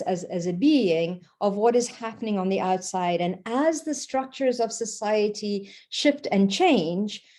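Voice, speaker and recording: A woman, her warm, mellow voice rambling loosely through a spiritual talk. She keeps checking in with a questioning "okay?" and lets fillers and restarts slip in as she thinks aloud. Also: mid-range voice. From Portugal. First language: Portuguese. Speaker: Reiki practitioner.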